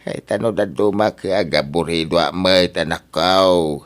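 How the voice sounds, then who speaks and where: A man's voice: low voice, fairly even intonation, unhurried. A man acting as a wheedling, money-minded older father in an Ilocano radio drama, with an Ilocano accent. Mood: apologetic, weary.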